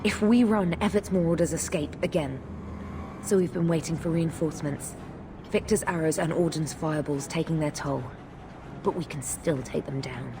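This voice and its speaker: A woman's voice: low voice, normal speed, noisy background. A woman playing a grim, embattled guard lieutenant in a video game, terse and military. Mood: determined. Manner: terse, military, hard-pressed.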